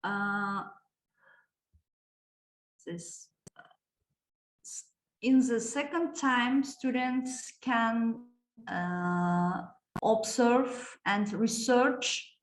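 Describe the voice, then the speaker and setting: A woman from Kazakhstan, presenting a teaching project at a conference and pausing often to search for words. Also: a little high. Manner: halting, searching for words, many hesitations. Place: conference room. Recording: echoey hall.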